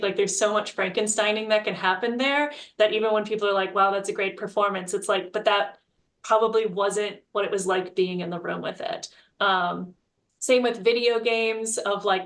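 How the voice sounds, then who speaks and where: A woman's voice: mid-range voice, a bit bright. A woman speaking as a panelist in a reflective discussion, explaining her thinking in a thoughtful, conversational way. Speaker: panelist, playwright and screenwriter.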